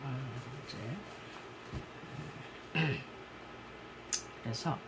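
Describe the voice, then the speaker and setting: A male pastor preaching a sermon, hoarse from a cold, talking haltingly with many fillers and pauses. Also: mid-range voice, big pitch swings, heavy background noise. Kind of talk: sermon with prayer.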